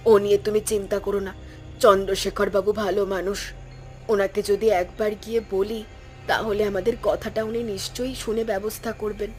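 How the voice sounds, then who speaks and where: A woman's voice: medium pitch, flat delivery, fairly quick, faint music behind, lightly acted. A woman voicing a poor old village wife in a fairy-tale cartoon, speaking reassuringly.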